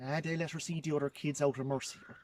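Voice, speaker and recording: A man performs a poor nineteenth-century Irish farmer and father in a historical monologue. His voice sits rather high, and he speaks in plain, halting country phrases heavy with grief. There is faint noise and birdsong in the recording. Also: flat delivery, darkish tone, lightly acted. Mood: anguished, bitter. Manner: plain rustic storytelling, halting repeats.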